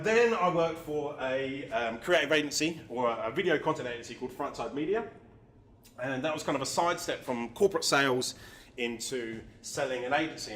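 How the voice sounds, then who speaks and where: A man's voice: mid-range voice, slight background noise. A middle-aged man with an accent that blends English and New Zealand, giving a casual, self-deprecating conference talk to a live audience, with frequent ums. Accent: mix of English and New Zealand. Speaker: marketing agency founder giving a talk. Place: meetup venue with audience.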